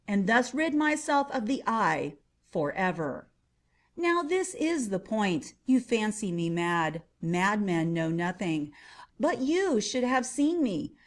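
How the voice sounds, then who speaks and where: Woman as theatrical, cunning mad murderer. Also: mid-range voice. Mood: obsessive, chilling, then boastful, insistent. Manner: theatrical, emphatic, drawn-out repetitions. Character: unnamed mad murderer narrator, cunning, insistent on sanity. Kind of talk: dramatic horror audiobook narration.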